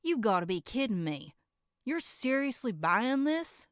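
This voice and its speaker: A woman's voice: on the high side, big pitch swings, unhurried, no room sound, clean studio sound, pitched above the performer's own voice. A woman playing an exasperated, level-headed villager with a folksy, sarcastic manner in a horror roleplay audio drama.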